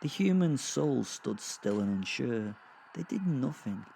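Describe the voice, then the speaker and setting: A man playing a gleeful, foul-mouthed werewolf who narrates a dark comic horror audio drama in a rambling, conversational, sardonic way. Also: mid-range voice, big pitch swings, a little slow, creaky, slight background noise. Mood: gleeful menace. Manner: rambling, sardonic, sweary asides with sighs and chuckles. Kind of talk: first-person storytelling, conversational dark comedy.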